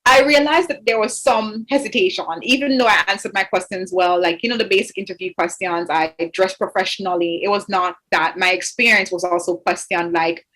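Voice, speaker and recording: A woman's voice: medium pitch, steady tone, a little fast, few pauses, fairly bright tone, low-quality recording. Young woman from Jamaica, interview guest, earnest and thoughtful, lots of "you know" fillers. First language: English. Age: 20s. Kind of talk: interview conversation.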